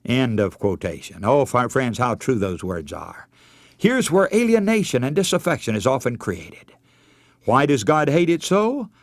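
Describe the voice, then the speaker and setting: Emphatic preaching man, lively pitch. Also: dry recording. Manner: earnest, emphatic preaching with dramatic pauses. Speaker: radio preacher. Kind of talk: radio sermon.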